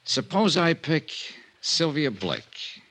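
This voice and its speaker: An actor playing a cool-headed American insurance detective in an old-time radio drama, dry, understated and probing. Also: male voice, on the high side, very animated, slow, a bit bright, breathy edge, exaggerated voice.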